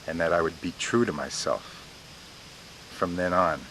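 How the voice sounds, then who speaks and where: A man's voice: sits low, normal speed, fairly bright tone, slight background noise, rough recording. A man in his fifties who stutters, with repeated words and blocks, talking about himself in an interview. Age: 50s. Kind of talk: personal interview.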